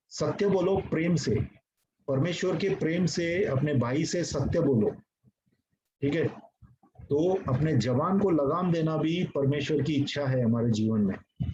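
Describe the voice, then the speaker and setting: A man's voice: a little high, dark tone. Earnest preaching man, dull lo-fi sound. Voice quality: mixes English phrases into speech. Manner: earnest, repetitive, addresses congregation directly. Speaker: pastor. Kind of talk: sermon teaching. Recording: dull lo-fi recording with faint noise.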